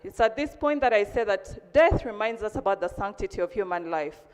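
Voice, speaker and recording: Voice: medium pitch, lively intonation, fairly quick, smooth. A member of parliament with a Ugandan accent, giving a solemn, declarative formal tribute. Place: large parliamentary chamber. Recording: echoey hall with long reverb.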